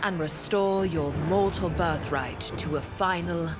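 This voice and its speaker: Ancient godlike being for a game cinematic performed by an actor: slow, solemn, vengeful, under loud music. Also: mid-range voice, close to an everyday voice. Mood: grimly resolved. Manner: grave, solemn, portentous. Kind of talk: dramatic video game cutscene monologue.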